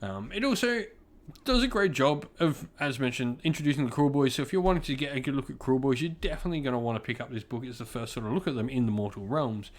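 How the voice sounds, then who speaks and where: A man with a medium-pitched voice speaks as a reviewer hosting his own channel. He sounds enthusiastic and informal, leaning on key words for emphasis. He often repeats a phrase as he builds his point. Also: expressive, fast. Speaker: book reviewer, channel host.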